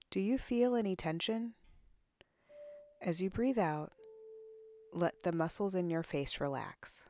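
A woman leading a guided body scan meditation in a soothing, unhurried, instructional way with long pauses. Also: sits low, darkish tone. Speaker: guided relaxation narrator, counselor.

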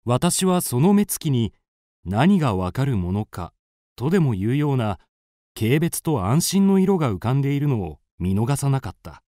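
Man acting earnest amateur sleuth, highly animated. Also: no room sound, studio quality, close to an everyday voice. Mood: tense narration. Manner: deliberate, dramatic, polite. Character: earnest young male amateur sleuth, confidently accusing his friend. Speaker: narrator and lead character. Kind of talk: radio drama acting and narration.

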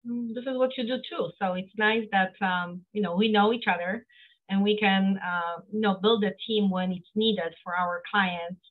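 A woman's voice: medium pitch, fairly even intonation, normal speed, phone-quality sound. Polish accent lady on a video call, earnest, lots of ums, thin phone-ish sound. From Poland. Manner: earnest, many fillers and restarts. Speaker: guest, accountant. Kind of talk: casual interview talk. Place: home office, indoors.

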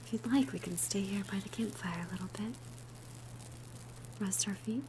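A woman voicing a gentle, shy young female alchemist in an ASMR roleplay, softly breathy, hesitant and tender. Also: mid-range voice, moderate pace, background noise, natural voice. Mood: shy, warm. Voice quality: soft, breathy whisper.

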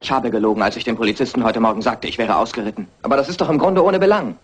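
Actor as a fast-talking defensive husband. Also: male voice, mid-range voice, close to an everyday voice. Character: evasive, defensive, exasperated husband. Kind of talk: German crime radio play acting.

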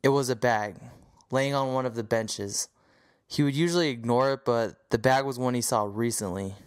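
A man's voice: medium pitch, normal speed, smooth. A man reading scripted story narration as the narrator of an audio drama.

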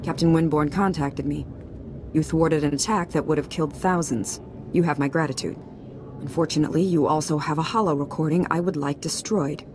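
Proud firm middle-aged lady politician woman acting, lowish formal voice, game cutscene, noisy background. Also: fairly even intonation, brisk, close to an everyday voice. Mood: cool, commanding. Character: proud, resolute middle-aged female senator. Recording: game cutscene with clear ambient noise.

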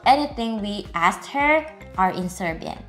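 A woman's voice: medium pitch, lively intonation, unhurried. A young woman presenting to camera on a lapel mic in a small living room, explaining plainly and earnestly in simple English. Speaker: host and language teacher. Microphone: clip-on lapel mic. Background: music.

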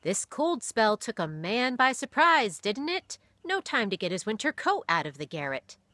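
A woman putting on a cheerful young pastor, loud and hearty, raised in pitch above plain talk. The tone is bright and forceful in a close, dry storybook reading. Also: very animated, moderate pace, dry recording. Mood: kindly.

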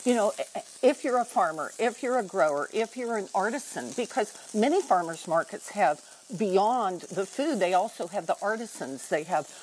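A woman's voice: mid-range voice, lively intonation, normal speed, darkish tone, slight background noise, rough recording. An older woman, a guest cook, chatting while she demonstrates a recipe in a TV studio kitchen, with many ums as she explains. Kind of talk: cooking demonstration chat.

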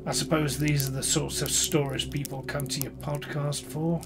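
An actor playing a skeptical, dry historian in an audio drama. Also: male voice, a little high, level tone, normal speed, close to an everyday voice. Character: skeptical older male historian, dry and bitter. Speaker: academic interviewee. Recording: music bed under dialogue.

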